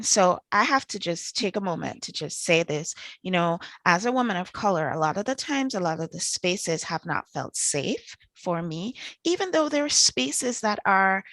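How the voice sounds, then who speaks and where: Effusive Trinidadian woman, lively pitch. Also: dry recording. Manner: effusive, enthusiastic. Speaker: host. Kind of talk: online networking call. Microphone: video call.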